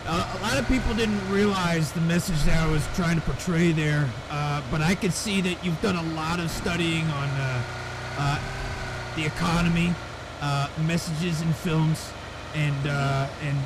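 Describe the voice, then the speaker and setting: A man's voice: a little high, normal speed. Dry-humored male esports caster. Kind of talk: live esports commentary with joking banter. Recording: steady game noise behind the voice.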